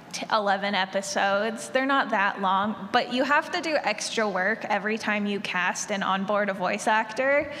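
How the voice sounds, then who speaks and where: A woman's voice: on the high side, a bit bright. A woman who produces audio drama, talking casually and in an upbeat way as a panelist. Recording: echoey hall, background noise.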